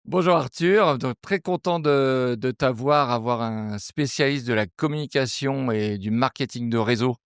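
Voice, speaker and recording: Podcast host guy, medium pitch w some fry, dry sound, chatty interview questions. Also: normal speed, hardly any pauses, no echo. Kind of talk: conversational interviewing, short prompts.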